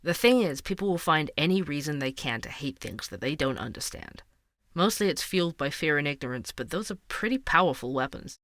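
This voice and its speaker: A man playing an empathetic, thoughtful investigative podcast host, speaking in an earnest, measured way. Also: on the high side, lively intonation, a bit bright, dry recording, studio quality. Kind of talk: fiction podcast interview and narration.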